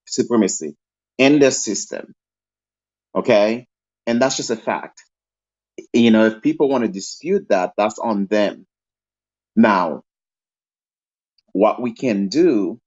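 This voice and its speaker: A man's voice: on the low side, lo-fi sound. A man from Haiti, speaking as a panel guest and sharing strong opinions in a long-winded way, often checking in with "okay?". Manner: long-winded, frequent "okay?" tag questions. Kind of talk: opinionated call-in talk.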